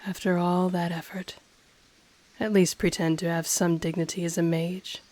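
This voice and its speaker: A woman voicing an ancient, haughty, teasing dragon in human form, in an intimate audio roleplay with a breathy voice that often drops to a whisper. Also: on the low side, flat delivery, a little mellow, close to an everyday voice. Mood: mocking, probing. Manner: slow, deliberate, condescending, teasing. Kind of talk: intimate audio roleplay, scripted character acting.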